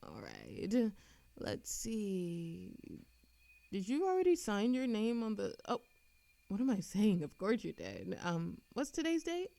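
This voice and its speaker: A woman's voice: medium pitch, wildly swooping pitch, gravelly, dark tone, dry recording, heavily acted. Woman acting as a flirty, lilting immortal woman. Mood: musing, distracted. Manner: theatrical, coy, teasing, with frequent giggles and pauses. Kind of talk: scripted audio roleplay addressed to an unheard listener.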